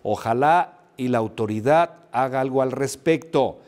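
A man in his forties anchoring an evening newscast from a TV studio, with a brisk, upbeat presenter delivery. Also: mid-range voice, a little mellow. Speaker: news anchor. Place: TV news studio.

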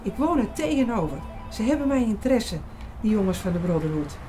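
An actress playing a friendly, curious young receptionist in a radio play, chatty and forthcoming. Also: female voice, mid-range voice, very animated, a little fast, music in the background, lightly acted. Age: young adult. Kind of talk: Dutch radio play acting.

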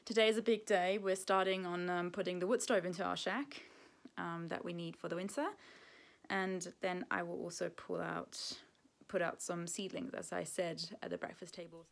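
Young woman vlogger, low voice, a bit of fry, relaxed chatty talk to a handheld camera outdoors. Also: moderate pace. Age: young adult. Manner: relaxed, chatty, with soft laughs. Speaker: homesteading vlogger. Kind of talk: casual vlog talk to camera. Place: outdoor shelter on a rural homestead.

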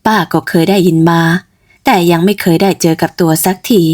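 A woman's voice: fairly low pitch, fairly even intonation, a little fast, a little mellow, pitched below the performer's own voice, natural voice. A woman voicing a middle-aged village mother in a storybook tale, motherly and chatty with a fretful streak. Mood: friendly, curious. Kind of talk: storybook character voice.

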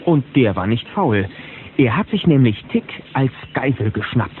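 Animated man as a storyteller, lively pitch. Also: normal speed, natural voice. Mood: excited. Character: chatty, excited male storyteller. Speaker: narrator. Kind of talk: scripted children's audio drama narration. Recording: muffled, faintly noisy old cassette.

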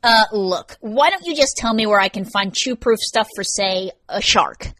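Woman acting a loud, hot-tempered, sarcastic young man. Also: mid-range voice, lively intonation, moderate pace, dry recording, voice pushed up, lightly acted. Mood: evasive, irritated. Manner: ranting, foul-mouthed, sarcastic.

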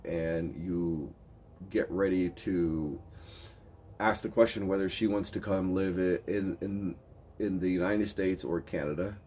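A man in his fifties, born in Germany, speaking in a relaxed, talkative way with frequent restarts, his nose a little stuffy. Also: low voice, a little slow, breathy edge. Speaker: co-owner of a marriage agency. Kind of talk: casual video introduction. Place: covered porch outdoors.

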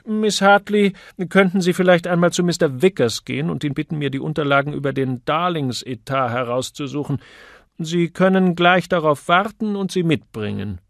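A man's voice: a little high, moderate pace, creaky, no room sound, clean studio sound, voice pushed up. A man voicing a pompous, nervous male advertising boss in an audiobook, formal and condescendingly polite in an abrupt way. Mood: commanding.

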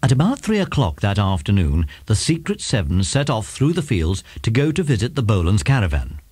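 A man's voice: lowish voice, very animated, fairly quick, a little mellow, breathy edge, no echo. A man reading scripted narration for a children's audiobook drama.

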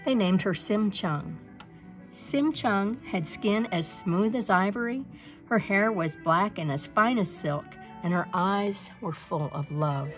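Slow-paced woman reading a folktale. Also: medium pitch, faint music behind. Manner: expressive, deliberate storytelling. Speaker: storybook reader. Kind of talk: scripted narration, children's folktale read aloud.

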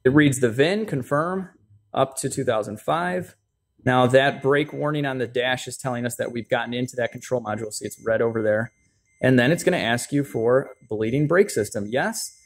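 A man's voice: medium pitch, normal speed. Man walking through a brake job. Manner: relaxed, frequent "uh" fillers, addresses viewers as guys. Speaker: mechanic demonstrating brake service. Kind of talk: casual how-to walkthrough. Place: home garage.

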